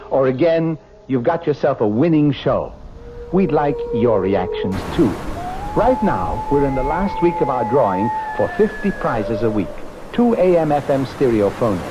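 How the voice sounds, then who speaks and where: A man reading an earnest, measured on-air contest announcement as a radio producer. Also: medium pitch, poor audio. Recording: vintage radio broadcast with music.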